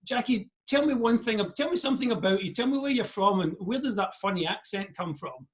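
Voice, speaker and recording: Man, Scottish accent, high voice, interviewer on a video call, easygoing, rambling. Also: expressive, brisk, fairly bright tone. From Scotland. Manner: friendly, rambling questions with restarts. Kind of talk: remote interview.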